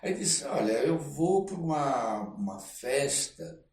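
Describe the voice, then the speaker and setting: A man's voice: medium pitch, expressive, slow pace, a little mellow. Older Brazilian man in a roomy recording. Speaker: interview guest, artist. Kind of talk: conversational storytelling. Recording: roomy, distant mic, faint noise.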